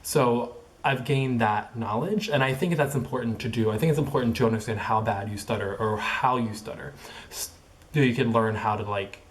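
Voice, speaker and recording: A man's voice: fairly low pitch, a little hiss or hum. A young man who stutters, talking casually in a personal vlog, with repeated sounds, blocks and many fillers like "like" and "um". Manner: filler-heavy, halting phrasing with many likes and ums. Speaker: vlogger.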